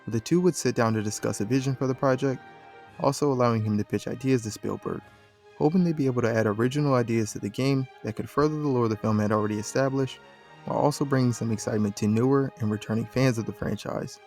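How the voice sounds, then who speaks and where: A man delivers scripted documentary narration in a voice of ordinary middle pitch. He is recorded as a close voice-over, with music faintly behind him. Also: quick pace, a little mellow.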